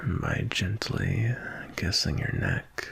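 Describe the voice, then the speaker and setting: Seductive male vampire, played by a man, ASMR roleplay: deep, very husky half-whisper, tender and unhurried. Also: wildly swooping pitch, a bit bright, heavily acted. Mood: soothing, seductive. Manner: slow, soothing, sensual, drawn-out. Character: seductive male vampire, gentle, attentive lover feeding.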